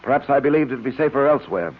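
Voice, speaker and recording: A man's voice: mid-range voice, lively intonation, racing, natural voice. An actor playing a self-assured, guarded male police detective with clipped, commanding delivery in 1940s radio style. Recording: tinny narrowband old radio recording.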